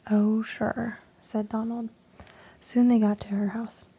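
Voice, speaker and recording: A woman reading stories in a soft unvoiced ASMR whisper, slow and hushed with frequent ums, while losing her voice. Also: a little high, little pitch movement, husky. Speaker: ASMR creator.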